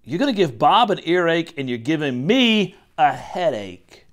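Storybook mother read by a man: weary, scolding yet reasoning, highish animated voice. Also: unhurried. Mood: exasperated. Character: weary mother scolding her small daughter. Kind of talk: storybook character voice.

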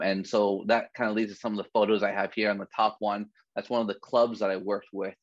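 Man with a low voice on a dry video call. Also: dry recording, lo-fi sound. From San Francisco Bay Area. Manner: relaxed, with many fillers and restarts. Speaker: physical education teacher. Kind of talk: presentation over slides.

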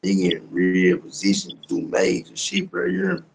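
Gravelly young American man, slangy and emphatic. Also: fairly low pitch, sing-song, normal speed, slight background noise, low-quality recording. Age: young adult. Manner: repetitive, emphatic street talk with frequent tag questions. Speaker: rapper being interviewed. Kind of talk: casual, slang-heavy video-call chat. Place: small indoor room, on a video call. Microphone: phone at arm's length on video call.

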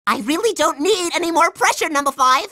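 A cocky cartoon boy pilot blusters through a video game adventure. The voice is high, bright and dry, excitable and jittery. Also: brisk, dry recording, heavily acted. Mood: nervous.

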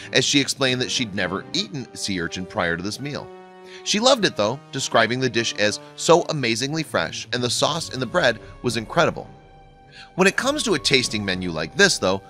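A man narrating a scripted online video in a lively, bouncy read, recorded as a studio voice-over. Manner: lively, bouncy read with wide pitch swings. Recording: faint music bed behind the voice.

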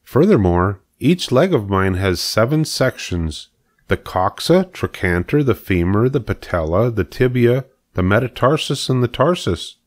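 Man voicing a measured, clever female storybook spider. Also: mid-range voice, very animated, voice pushed up. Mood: precise, informative. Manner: measured, instructive, matter-of-fact. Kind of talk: storybook character reading.

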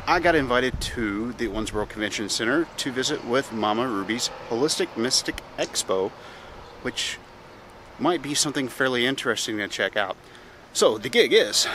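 A man's voice: medium pitch, expressive, moderate pace, background noise. A man in his thirties hosting a casual vlog, talking upbeat and friendly straight to a camera held at arm's length outdoors. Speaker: video host. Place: outdoors beside a building.

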